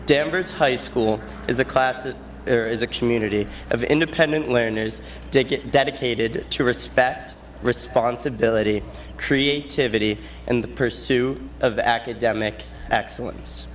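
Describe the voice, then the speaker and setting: Late-teen man, medium pitch, student speaker, prepared speech, faint background noise. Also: measured pace. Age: late teens.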